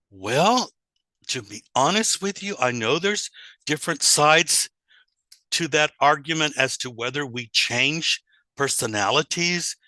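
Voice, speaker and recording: A man giving an informal online lecture in a deliberate, explanatory way with rhetorical questions. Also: fairly high pitch, pushed and bright, dry recording, rough recording. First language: English, also Spanish and German. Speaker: teacher trainer presenting.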